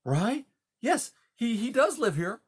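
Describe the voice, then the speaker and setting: A tiny female storybook mouse, voiced by a narrator, timid and anxious. She speaks in a high, soft, hesitant voice that stumbles over its words. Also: sing-song, takes it slowly, husky, full character voice. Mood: worried. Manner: hesitant, stammering. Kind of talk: audiobook character dialogue.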